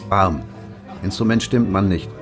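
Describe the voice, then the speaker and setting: A man's voice: low voice, over music. An actor playing an eager, good-natured male English professor who pleads and persuades in a radio play. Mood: enthusiastic. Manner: pleading, persuasive, talks fast when excited.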